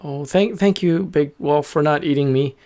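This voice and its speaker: Man acting a little storybook bird, high-pitched, eager, friendly, excitable, halting chatter. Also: lightly acted. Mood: grateful. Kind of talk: improvised children's story character voice.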